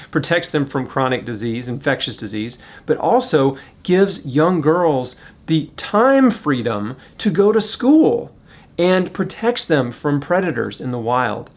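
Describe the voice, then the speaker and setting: A man presenting a charity appeal in a casual, earnest way, talking straight to the viewer with rhetorical questions. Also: mid-range voice. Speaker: fundraiser and presenter.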